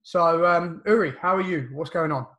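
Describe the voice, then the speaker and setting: A man in his thirties hosting a casual podcast over a video call from home, his voice a bit croaky from illness, chatty and loose with lots of fillers. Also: a little high, normal speed, bright, forceful tone. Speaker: podcast host. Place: home room, indoors. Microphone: video call webcam.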